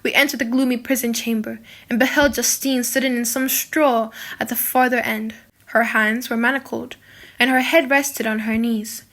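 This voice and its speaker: A woman narrating a classic novel as a dramatic audiobook, in an expressive, solemn storytelling manner. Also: fairly high pitch, normal speed.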